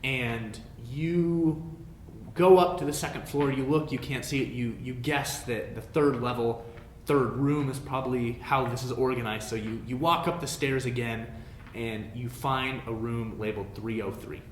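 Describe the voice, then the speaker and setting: A man runs a tabletop game as game master, describing scenes off the cuff. He speaks haltingly in a mid-pitched voice. The room is echoey, with faint rumbling noise. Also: fairly even intonation. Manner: halting, pausing to think up details. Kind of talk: improvised tabletop game narration.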